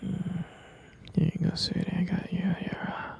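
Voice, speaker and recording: A man playing a doting, gently teasing boyfriend in an ASMR roleplay, murmuring tenderly and hesitantly in a breathy, gravelly whisper. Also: dull audio, lightly acted. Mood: tender, adoring. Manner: slow, tender, hesitant murmuring with pet names.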